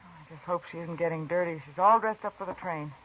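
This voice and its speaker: A woman's voice: lowish voice, fast, natural voice. An actress playing an eager young frontier mother in a 1950s radio drama, with a breathless, earnest delivery. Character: eager young mother hoping to leave town. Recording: tinny vintage radio broadcast with hiss.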